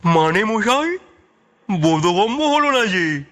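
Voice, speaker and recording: Man acting as genial timid writer, high-pitched, slow theatrical wheedling, audio drama. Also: big pitch swings, fairly bright tone, exaggerated voice. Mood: puzzled. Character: genial, chatty, fussy Bengali gentleman writer, slightly timid. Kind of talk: audio story character acting.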